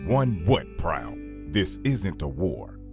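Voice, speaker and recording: An actor playing a stoic, resigned robot veteran who speaks calmly and deliberately in a husky voice. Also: male voice, low voice, music in the background, exaggerated voice.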